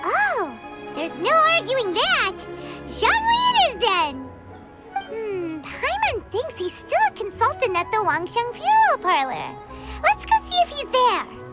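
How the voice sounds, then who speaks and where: A woman voicing a tiny, bratty, excitable fairy companion in a game, whiny and teasing. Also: extremely high pitch, unhurried, over loud music, full character voice. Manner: whiny, teasing, refers to herself in third person. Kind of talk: game cutscene voice acting.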